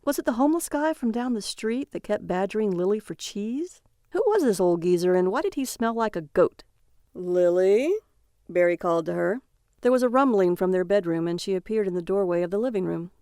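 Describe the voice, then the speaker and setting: A woman reads a comic short story as narrator. Her mid-pitched voice has a strong creak, and the sound is close and very dry. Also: lively intonation, brisk, warm tone, no room sound.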